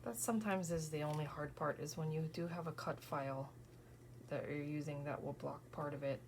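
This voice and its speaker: A woman in her thirties, tired from an early morning, softly thinking aloud and often whispering as she works on a scrapbook page. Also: low voice, steady tone, fairly quick, slight background noise. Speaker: scrapbooking crafter. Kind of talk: casual crafting vlog narration.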